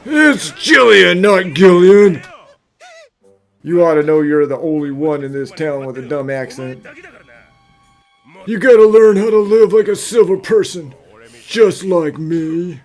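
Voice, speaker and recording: Snooty rich bully villain, high voice, super slow n pompous, game dub, music behind. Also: male voice, lively intonation. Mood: condescending. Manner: pompous, drawn-out, condescending. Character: haughty, bullying, self-important rich landowner villain.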